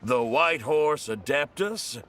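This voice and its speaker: A man's voice: a little high, slow, a little hiss or hum. A man playing an earnest, self-dramatizing storyteller in a video game, theatrical and easily flustered. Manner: theatrical, expressive, self-deprecating. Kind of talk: video game character acting.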